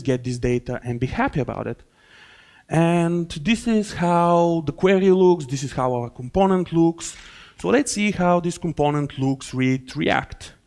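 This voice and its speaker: A man's voice: on the high side, a little mellow. Bulgarian man giving a conference talk. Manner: loose, filler-heavy explaining with restarts. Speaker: conference speaker. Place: conference hall.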